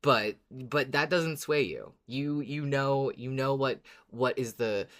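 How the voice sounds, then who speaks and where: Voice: medium pitch, fairly bright tone. Pemandu siniar bertempo pelan, bicara tersendat. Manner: hesitant, halting, agreeable. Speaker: podcast co-host discussing a fiction series. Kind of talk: casual reflective chat.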